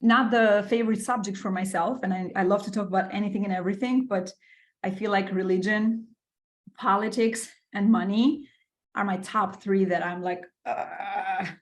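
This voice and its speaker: A woman's voice: medium pitch, fairly even intonation, normal speed. A middle-aged woman from Albania, a podcast guest telling her career story with enthusiasm, using lots of fillers and restarts. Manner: enthusiastic, with many fillers and repeated words. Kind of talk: casual interview storytelling.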